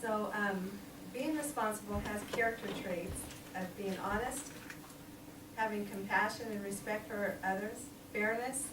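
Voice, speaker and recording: A woman's voice: mid-range voice, measured pace. A woman of sixty giving an informal sermon in a small church, chatty and laughing often, with many ums. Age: 60s. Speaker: lay preacher. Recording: echoey room, distant mic, noisy.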